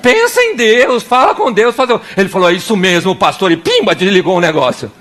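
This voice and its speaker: High, bright-voiced Brazilian pastor. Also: male voice, fairly quick. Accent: Brazilian Portuguese. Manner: animated, acts out little scenes and prayers with voices. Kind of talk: sermon.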